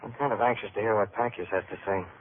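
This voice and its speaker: Actor as male police lieutenant, firm, direct, rapid delivery, vintage radio drama, noisy recording. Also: on the low side, steady tone, narrow, tinny audio, lightly acted. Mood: thoughtful. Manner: blunt, direct. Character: brisk, principled police lieutenant, plain-spoken and firm. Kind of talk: old-time radio police drama acting.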